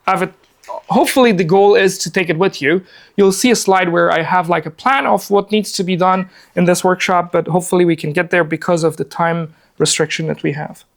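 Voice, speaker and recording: A man's voice: high-pitched, vocal fry. A man in his forties from Afghanistan giving a casual live workshop presentation in a meeting room. He talks in a chatty, upbeat way with many fillers, seated at a laptop and recorded by a camera across the room.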